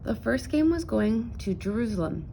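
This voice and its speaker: A woman narrating a children's picture book aloud in an expressive storytelling style. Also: a little high, normal speed, vocal fry, slight background noise, low-quality recording.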